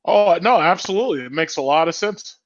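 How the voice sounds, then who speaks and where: Agreeable higher-voiced male podcast host. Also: lively intonation, brisk. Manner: agreeable, with frequent affirmations. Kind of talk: casual podcast conversation. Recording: dull lo-fi audio.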